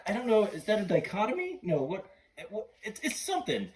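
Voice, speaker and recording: A middle-aged man hosting a podcast, chatting casually and gushing like an excited fan. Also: high-pitched, brisk, over a noisy crowd. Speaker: podcast host. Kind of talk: casual chat between film fans.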